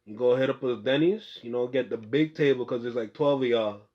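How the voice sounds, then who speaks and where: A man's voice: medium pitch, brisk, slight background noise. A young man hosting a podcast, chatting loosely with a friend in slang and cursing casually. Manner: loose, slangy, laughs a lot, swears casually. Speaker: podcast host. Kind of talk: casual chat. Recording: muffled lo-fi room recording.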